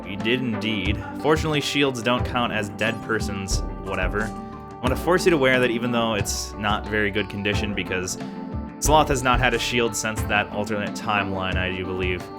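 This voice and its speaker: A man's voice: fairly low pitch, normal speed, loud music behind the voice. A man rambling casually as he comments on the video game he is playing. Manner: chatty, rambling, thinks aloud. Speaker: let's play gamer.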